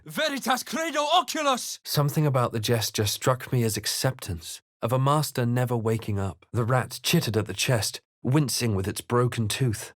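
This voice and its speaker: Casting: brooding male adventurer for a fantasy video game, mid-pitched, earnest, theatrical, dry studio sound. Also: no room sound. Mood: solemn, tense. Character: brooding male fantasy adventurer hero, narrating his visions and deeds. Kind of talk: dramatic video game voice acting.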